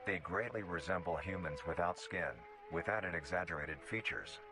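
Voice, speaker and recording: A man reading scripted narration in the style of a nature documentary, formal, measured and matter-of-fact. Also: low voice. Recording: music behind the voice. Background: clearly audible music.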